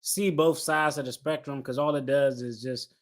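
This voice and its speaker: A man's voice: mid-range voice, fairly even intonation, normal speed. A man hosting a casual interview, chatting informally with slangy, affirming asides. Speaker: podcast host interviewing a guest.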